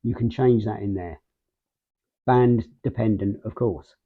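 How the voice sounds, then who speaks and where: A man's voice: fairly low pitch, unhurried, breathy edge, phone-quality sound. A man hosting a casual product review, explaining things step by step. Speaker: host of a radio gear review channel.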